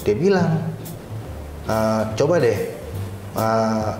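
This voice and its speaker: A man's voice: on the low side, expressive, drawn-out words, darkish tone. A man with a Jakarta Indonesian accent telling his own story casually as an interview guest, in informal, slangy speech. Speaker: interview guest, cyber security supervisor. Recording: roomy echo, faint background music.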